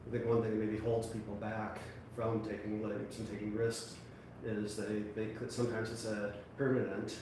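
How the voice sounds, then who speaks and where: A man's voice: lowish voice, completely flat delivery, moderate pace, hardly any pauses. A man hosting an interview, asking rambling, hesitant questions full of fillers. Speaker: podcast host. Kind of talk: casual interview. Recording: distant echoey mic with background noise.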